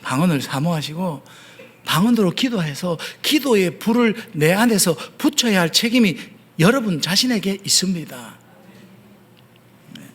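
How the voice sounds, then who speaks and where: A man with a Gyeongsang accent preaching a sermon as the pastor, earnest and explaining step by step, often pausing on fillers. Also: high voice, animated, breathy edge. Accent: Gyeongsang Korean. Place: church sanctuary.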